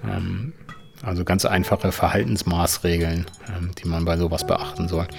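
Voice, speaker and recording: A man speaking as a financial expert in an informal, explanatory interview, often pausing on filler sounds. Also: sits low, level tone, creaky, soft background music.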